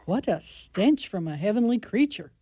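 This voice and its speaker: A woman's voice: medium pitch, big pitch swings, normal speed, warm tone, breathy edge, no echo, studio quality. A woman playing a fussing, pious nun in a radio play. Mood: fussing, wry. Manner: lilting, breathless, pious exclamations. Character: spry, fussing Irish-style nun, adult woman. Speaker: actor in a community radio play.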